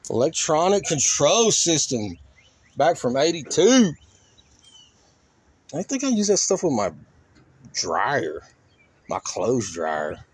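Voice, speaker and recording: Higher-pitched man with folksy, colloquial talk. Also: sing-song, takes it slowly, pauses often, husky. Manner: folksy, colloquial, exclaims to himself. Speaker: host of a car crushing vlog. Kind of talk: casual chat, rambling walk-around commentary. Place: outdoors in a junkyard. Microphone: handheld phone or camera, operator behind it. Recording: faint outdoor noise, handheld camera audio.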